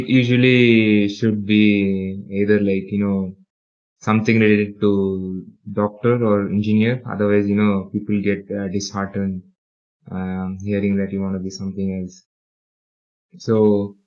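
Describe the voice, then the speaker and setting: Young man from the UAE, low steady voice, halting filler-heavy delivery, dull lo-fi audio. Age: young adult. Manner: hesitant, many fillers and restarts. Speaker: podcast co-host and interviewee. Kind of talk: casual interview.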